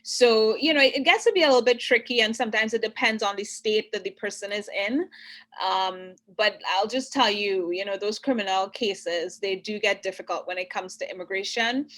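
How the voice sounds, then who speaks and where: A woman from Trinidad with a bright, forceful voice answers interview questions as an immigration attorney. She explains step by step and keeps checking that the listener is following. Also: medium pitch. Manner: clear, explanatory, often pauses to check understanding.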